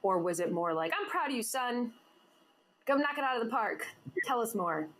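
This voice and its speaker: A woman's voice: on the high side, lively intonation, normal speed, fairly bright tone, low-quality recording. A woman hosting an interview show, bubbly and teasing, laughing often as she banters with her guest. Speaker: podcast host. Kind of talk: casual interview chat.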